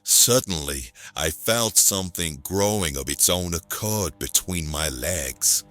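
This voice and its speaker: Male actor as hapless noir antihero for audio drama: wry deadpan, slangy, unhurried, very animated intonation. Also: low-pitched, faint music behind, heavily acted. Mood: wry narration. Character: hapless hard-boiled man narrating his own seduction ordeal. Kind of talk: noir-style audio play narration and dialogue.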